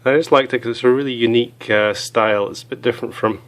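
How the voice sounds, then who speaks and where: A hoarse man with a cold, chatting casually as he reviews small gear items, with many fillers. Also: medium pitch, fairly even intonation, fast, a bit bright.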